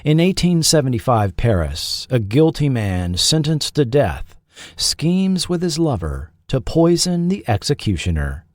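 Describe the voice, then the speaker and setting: A man narrates a scripted documentary with deliberate care. His pitch moves expressively while the pace stays slow, and the recording is close and dry. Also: darkish tone, dry recording. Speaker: podcast host and narrator.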